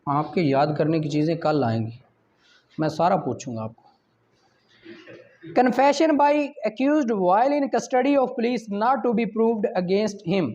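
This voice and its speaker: A man with a Pakistani accent lecturing law students, reading formal passages slowly and deliberately, then explaining them didactically. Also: sits high, sing-song, a little mellow, highs cut off.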